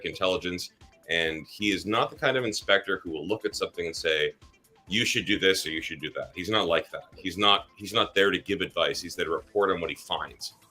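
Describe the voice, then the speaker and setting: A man's voice: deep voice, bright, forceful tone. A man who grew up in Germany, hosting a live stream as a realtor, chatting in a rambling way with many fillers. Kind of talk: casual livestream chat.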